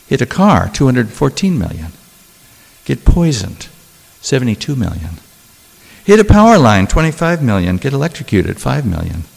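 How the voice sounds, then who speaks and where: A man reading his own poetry and talking about it, in a deliberate, measured way with dramatic pauses. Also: medium pitch, huge pitch swings, creaky, breathy edge. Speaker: poet reading own work. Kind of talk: poetry reading with spoken asides. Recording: long hall reverb.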